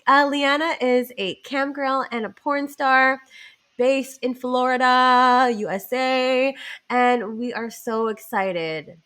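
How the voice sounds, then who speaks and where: A woman's voice: high voice, takes it slowly, fairly bright tone, smooth. Bubbly congested woman podcast host. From Canada. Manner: bubbly, giggly, effusive. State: congested nose from a cold. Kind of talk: casual podcast chat.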